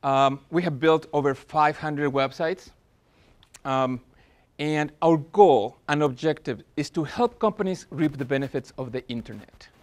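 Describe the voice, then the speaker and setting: A man's voice: fairly high pitch, a little slow. Earnest accented man giving a workshop talk. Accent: Spanish-accented English. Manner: earnest, with frequent fillers and restarts. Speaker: workshop presenter. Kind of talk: business workshop presentation.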